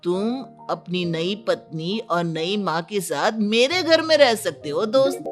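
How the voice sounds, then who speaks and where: A man's voice: sits high, expressive, takes it slowly, soft background music. A man playing a helpful, confident young male friend in a cartoon story, speaking in a reassuring, assured way. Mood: firm.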